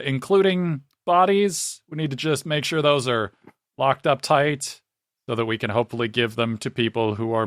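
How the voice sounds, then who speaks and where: A man with a mid-pitched voice, recorded very dry and close. He chats his way through a tabletop role-playing game as a player, rambling a little as he works out what his character says. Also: a bit bright, no echo. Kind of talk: casual in-character game chat.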